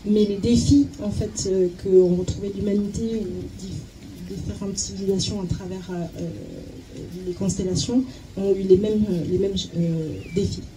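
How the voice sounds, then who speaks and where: A woman working as a consecutive interpreter at a talk, halting and searching for words as she translates. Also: medium pitch, steady tone, mellow, slight background noise, low-quality recording.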